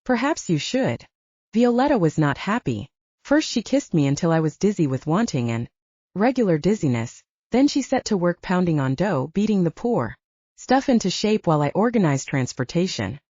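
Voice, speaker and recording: A woman narrating an audiobook with a dramatic, expressive delivery and a lively pitch. Her voice is low and mellow. The recording is dry and has a dull, muffled tone. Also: normal speed, dry recording. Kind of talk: first-person audiobook narration.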